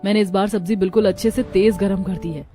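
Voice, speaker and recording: A woman's voice: medium pitch, steady tone, fast, mellow, soft background music, natural voice. A woman voicing a hardworking, patient young daughter-in-law in a narrated story. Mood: patient, eager. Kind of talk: acted dialogue in a narrated story.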